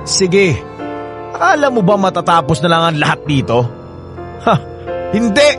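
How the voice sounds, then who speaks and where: A possessive, menacing former lover in a radio drama. His somewhat high-set voice is insistent and mocking, pressing every point. Light music plays behind him. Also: sing-song, moderate pace. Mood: threatening. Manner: insistent, taunting, with mocking drawl. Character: menacing, obsessive married ex-lover of a younger woman.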